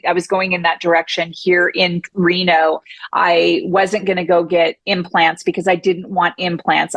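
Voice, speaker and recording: A woman's voice: on the low side, fairly bright tone, dry recording. A woman speaking as a podcast guest, talking emphatically and with self-assurance. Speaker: podcast guest, breast cancer survivor. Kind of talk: conversational interview.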